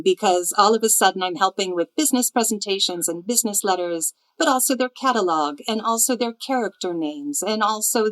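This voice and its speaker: A woman, chatting relaxed about her path as a translator in an interview, with frequent ums. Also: mid-range voice, rough recording. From United States, near Chicago. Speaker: literary translator, guest. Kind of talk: conversational interview storytelling.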